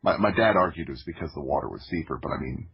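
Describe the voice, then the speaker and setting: A man from Florida telling stories from his own life in a casual, halting way, thinking aloud as he goes. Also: rumbling low, lively intonation, slightly rough. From Florida, United States. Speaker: podcast guest, audio drama creator. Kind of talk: casual autobiographical storytelling. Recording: dull, lo-fi audio.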